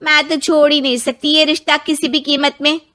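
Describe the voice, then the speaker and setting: A woman's voice: sits high, little pitch movement, a little fast, sharp tone, voice pushed up. A woman voicing a bossy, proud middle-aged village mother-in-law in a cartoon story, emphatic and insistent.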